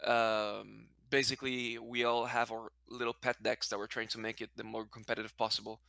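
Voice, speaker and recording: A man's voice: fairly low pitch, level tone, moderate pace, creaky, sharp tone, no room sound. A man from Brazil whose first language is Portuguese, answering as a guest in a casual interview, hesitant and often correcting himself. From Brazil, later a Spanish-speaking country.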